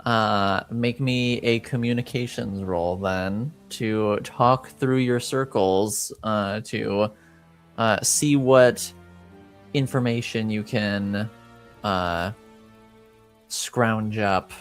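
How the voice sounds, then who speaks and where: A man improvising narration as game master of a tabletop game, halting often as he searches for words. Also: on the low side, clear, resonant tone, soft background music.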